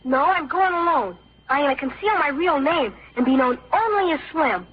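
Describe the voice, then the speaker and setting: An earnest American schoolboy with a high voice and a stubborn streak. He speaks emphatically, in the manner of old radio drama. The recording is dull and lo-fi. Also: expressive, moderate pace. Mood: glum scheming. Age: child actor or young teen.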